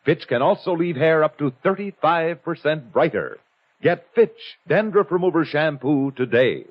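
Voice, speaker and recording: Male commercial announcer, vintage radio pitch, very animated, slightly high, lo-fi broadcast. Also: normal speed. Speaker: advertising announcer. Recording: dull old radio broadcast recording.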